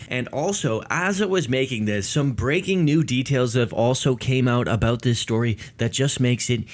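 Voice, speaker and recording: A man hosting a news commentary video, explaining developments to his viewers. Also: medium pitch. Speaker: video host. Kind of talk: news commentary to viewers, part read statement.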